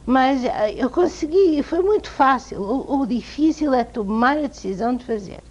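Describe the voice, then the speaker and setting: A woman with a European Portuguese accent tells stories as an interview guest, lively and amused at herself. Her voice sits a little high, and the recording is dull and lo-fi. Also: normal speed. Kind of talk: anecdotal interview storytelling. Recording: dull lo-fi TV sound.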